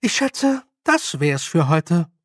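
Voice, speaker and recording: Audiobook role: bossy, good-humoured old witch woman played by a man, high voice, animated, stern and teasing. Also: takes it slowly, breathy edge, no echo, clean studio sound, full character voice. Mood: practical. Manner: loud, stern, teasing, colloquial scolding. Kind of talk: audiobook character voice.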